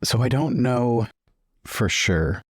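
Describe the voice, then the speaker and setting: A man's voice: medium pitch, animated, slow pace, breathy edge, dry recording, clean studio sound. A man improvising casual in-character dialogue as a player in a tabletop roleplaying game.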